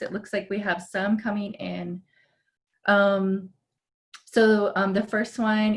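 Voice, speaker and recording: A woman from Hawaii moderating a panel webinar over a video call, speaking in a measured way with frequent pauses. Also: mid-range voice.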